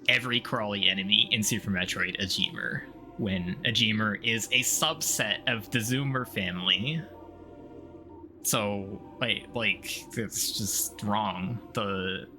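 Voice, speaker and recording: Male game streamer, unhurried and medium-pitched, nitpicky and sweary, rambling casually over prominent game music. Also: sharp tone. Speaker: streamer playing a video game. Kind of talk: casual gameplay commentary. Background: video game music.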